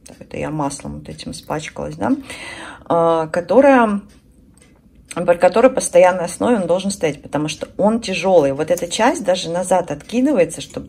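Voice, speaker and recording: A woman's voice: on the low side, expressive, moderate pace, gravelly, darkish tone. A woman in her thirties chatting casually to her viewers at home, emphatic and conversational, repeating herself for stress. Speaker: beauty and lifestyle vlogger. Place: indoors at home.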